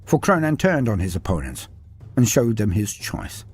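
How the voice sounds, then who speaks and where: A man with a husky voice narrates a fantasy story in a dry, wry way. His pitch swings widely from line to line, and faint music plays underneath. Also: sing-song, moderate pace.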